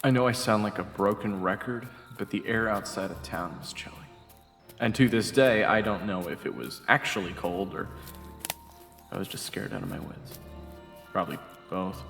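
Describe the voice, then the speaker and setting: A man's voice: on the low side, moderate pace, gravelly, a bit bright, echoey room, long reverb tail, soft background music. A man narrating a dramatic story in the first person as part of an audio roleplay.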